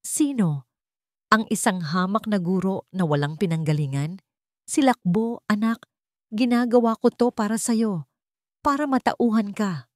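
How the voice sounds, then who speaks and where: A woman's voice: medium pitch, measured pace, long pauses, no echo, studio quality, pitched above the performer's own voice, lightly acted. A woman voicing a haughty older wealthy mother-in-law in a story, sweet on the surface but menacing and scornful. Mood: bitter scorn.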